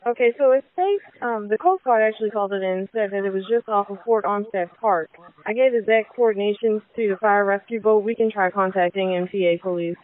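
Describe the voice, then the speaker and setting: A woman's voice: medium pitch, brisk. A woman working as an emergency dispatcher, speaking in clipped procedural style over the radio. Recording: lo-fi narrow radio dispatch audio.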